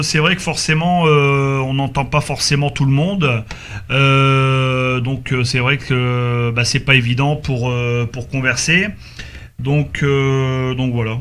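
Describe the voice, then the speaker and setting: A man chatting casually and haltingly as an amateur radio operator, heard over a two-way radio. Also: medium pitch, bright, forceful tone. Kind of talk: casual radio group chat. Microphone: radio microphone.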